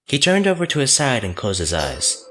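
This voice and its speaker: A man's voice: mid-range voice, wildly swooping pitch, normal speed, a bit bright, faint music behind. A man narrating a fan fiction audiobook from a script.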